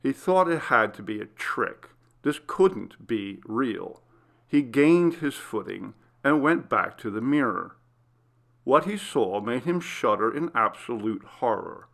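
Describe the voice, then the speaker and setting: A man's voice: medium pitch, animated, slow pace, vocal fry, fairly bright tone. A man reading a scripted horror story as its narrator.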